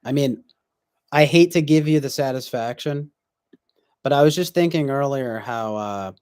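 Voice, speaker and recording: A man's voice: medium pitch, moderate pace, darkish tone, clean tone, dry recording, clean studio sound. A man in his twenties chatting casually on a stream, reflective and rambling, with many fillers and restarts. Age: 20s. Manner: reads viewer comments aloud. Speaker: livestream host.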